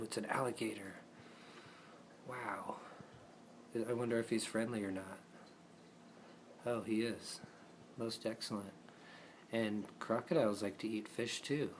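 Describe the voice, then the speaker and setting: A man's voice: fairly low pitch, steady tone, moderate pace, pauses often, slight background noise. A man improvising playful puppet show narration, chatting casually as if to the puppets, in an amateur recording.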